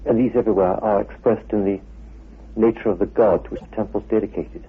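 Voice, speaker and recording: A man giving an expository talk as an expert, in deliberate phrases that he often restates. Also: fairly low pitch, fairly even intonation, husky. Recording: narrow, phone-like old radio recording.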